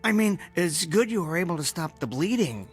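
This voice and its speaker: A nerdy, browbeaten engineer husband who is eager to keep the peace. He speaks hesitantly, with stammers and very animated pitch. It is a radio drama scene with light music underneath. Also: normal speed, breathy edge, heavily acted. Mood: anxious, placating. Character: meek, henpecked, geeky engineer husband.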